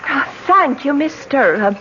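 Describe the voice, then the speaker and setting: A woman's voice: sits high, animated, a little slow, sharp tone, full character voice. Formal Irish lady of rank, courteous speech, vintage radio mystery, noisy dull recording. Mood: polite, flustered. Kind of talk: 1970s radio drama acting.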